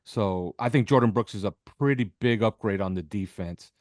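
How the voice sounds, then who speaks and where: A man's voice: fairly low pitch, normal speed, dry recording, clean studio sound. A man speaking off the cuff as a football analyst on a podcast, making emphatic points and checking in with short questions. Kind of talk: unscripted sports analysis.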